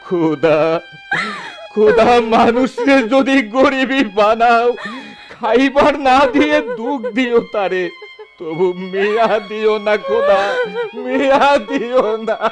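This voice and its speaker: Poor village father: high-pitched, very animated, rustic Bangladeshi accent, bitter yet tender radio drama delivery. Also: slow, music in the background, full character voice. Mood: weeping grief. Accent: rural Bangladeshi. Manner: emotional, lamenting rustic speech.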